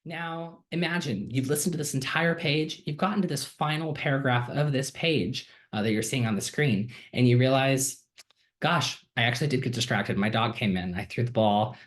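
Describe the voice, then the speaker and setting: A man's voice: medium pitch, normal speed, fairly bright tone. Man, student, casual screen-share demo, enthusiastic, filler-heavy, computer mic. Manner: friendly and enthusiastic, with frequent ums and uhs. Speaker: student giving a software demo.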